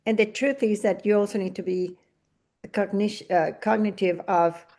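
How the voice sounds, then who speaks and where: A woman's voice: medium pitch, fairly even intonation, moderate pace, warm tone. A middle-aged woman from Venezuela with a Venezuelan Spanish accent, hosting a podcast interview and reflecting in long, winding sentences. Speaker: podcast host. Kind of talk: casual interview conversation.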